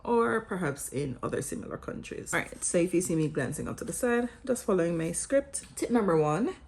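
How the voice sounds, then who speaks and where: Casting: young Jamaican woman, low-pitched voice, articulate vlogger-teacher explaining tips to her viewers. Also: expressive, brisk, darkish tone, a little hiss or hum. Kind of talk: scripted vlog talking to camera with casual asides.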